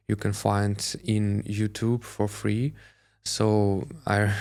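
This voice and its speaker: Brief: Russian-accented male guest, low gravelly voice, halting with fillers. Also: a little mellow. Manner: hesitant, many filler pauses. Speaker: crypto influencer guest. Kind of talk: interview answers.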